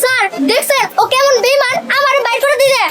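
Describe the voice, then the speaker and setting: A woman's voice: extremely high pitch, flat delivery, quick pace. A woman playing an indignant, whiny, self-excusing young shop assistant in a comic drama, with a Bangladeshi accent. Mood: defensive. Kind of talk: comic short-drama acting. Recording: loud music behind the dialogue.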